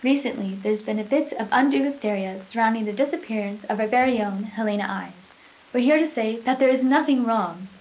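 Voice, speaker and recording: Woman acting a high-voiced chipper school news anchor. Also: fairly quick, natural voice. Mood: reassuring. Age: young adult. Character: chipper, eerily reassuring teenage female school news anchor. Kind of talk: scripted school news presenting, acted. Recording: dull lo-fi 1990s VHS sound.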